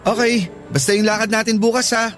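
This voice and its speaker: A man's voice: high voice, fairly even intonation, a little fast, a bit bright, soft background music. An actor playing a sweet, devoted young male student suitor, with a casual boyish manner, in a radio-style drama. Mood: eager, playful.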